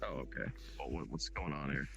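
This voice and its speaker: Scheming fantasy boss, voiced by a man: low and gravelly, offhand but commanding, roleplay game. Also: expressive, a little fast, music in the background, exaggerated voice. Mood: mildly suspicious. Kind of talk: improvised online roleplay.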